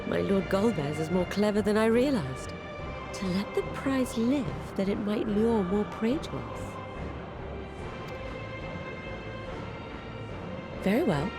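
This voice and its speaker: A haughty female fiend played by a woman from a video game. She speaks in a middle register with theatrical, predatory disdain, toying with her prey. Music plays clearly behind her. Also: mid-range voice, lively intonation, moderate pace, close to an everyday voice. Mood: amused menace. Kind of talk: scripted video game voice acting.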